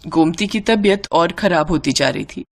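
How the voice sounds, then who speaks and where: A woman narrating a scripted moral story in an expressive, dramatic way. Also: fairly low pitch, normal speed.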